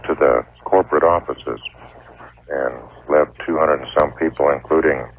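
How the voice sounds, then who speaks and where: A middle-aged man calling a radio show, explaining his situation in a measured, deliberate, halting way. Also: very deep voice, fairly even intonation, a little hiss or hum. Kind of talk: explaining a problem on a phone-in. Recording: tinny phone line on taped radio.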